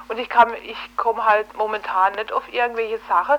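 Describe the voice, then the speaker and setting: A woman's voice: fairly high pitch, fairly even intonation, normal speed, fairly bright tone, highs cut off. An outspoken, opinionated woman arguing colloquially in a casual radio discussion, often ending phrases with tag questions. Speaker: radio station editorial staff member calling in.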